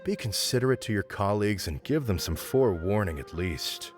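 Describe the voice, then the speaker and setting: An actor playing a dutiful academy administrator in a video game, formal and measured, deferential yet firm. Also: male voice, lowish voice, animated, faint music behind, natural voice. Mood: firm, businesslike.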